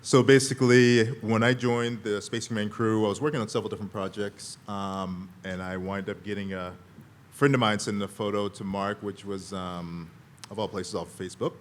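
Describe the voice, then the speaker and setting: A deep-voiced man speaking as a guest on a convention panel, rambling and quoting his own thoughts. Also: little pitch movement, brisk, slight background noise. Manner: rambling, quotes himself. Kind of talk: casual panel talk.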